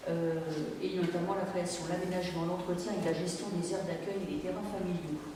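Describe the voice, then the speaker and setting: A council member presenting haltingly at a meeting, hesitating and repeating words. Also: medium pitch, level tone. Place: large echoey meeting room. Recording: echoey hall, distant mic, background noise.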